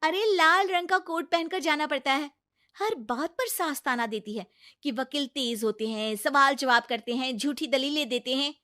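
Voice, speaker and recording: A woman's voice: sits high, normal speed, bright, forceful tone. A woman voicing a young lawyer daughter-in-law in a Hindi cartoon story, earnest, protesting and exasperated. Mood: fed up.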